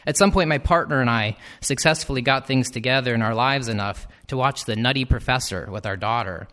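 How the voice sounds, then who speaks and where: A man's voice: medium pitch, a little fast, pushed and bright. Man narrating a reflective personal essay. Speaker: teacher.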